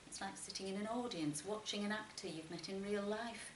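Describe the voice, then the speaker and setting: A woman reading literary fiction aloud in an expressive, measured narration with emphatic phrasing. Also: medium pitch. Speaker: short story reader. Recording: roomy, distant mic, faint noise.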